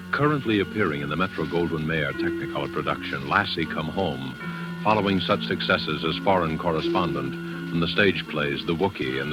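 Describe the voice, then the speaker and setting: A man's voice: very deep voice, rough recording. A man playing an ominous, mysterious host, introducing a 1940s radio drama in a formal, portentous manner. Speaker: host and announcer. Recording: vintage 1940s radio, loud music behind.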